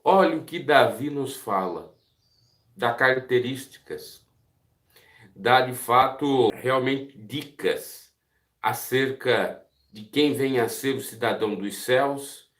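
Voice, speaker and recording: A man's voice: medium pitch, takes it slowly, a bit bright, roomy sound. A man with a Brazilian Portuguese accent giving a devotional Bible teaching, earnest and putting direct questions to his listeners. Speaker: preacher.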